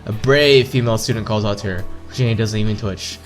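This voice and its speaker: A man's voice: lowish voice, fairly quick. A man reading a visual novel aloud casually, playfully stumbling over words and adding side comments. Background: visual novel background music.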